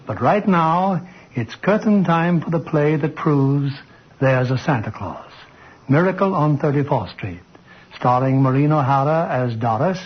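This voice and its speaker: A man's voice: a little high, lively intonation, measured pace, husky. A man hosting and narrating a radio play in scripted 1940s announcer style. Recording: muffled vintage radio broadcast, music behind.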